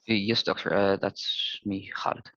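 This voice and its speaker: A man's voice: fairly low pitch, flat delivery, a little slow, fairly bright tone, no room sound. Hesitant male student, dull call audio. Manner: hesitant, filler-heavy. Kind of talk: answering in class. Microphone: video call.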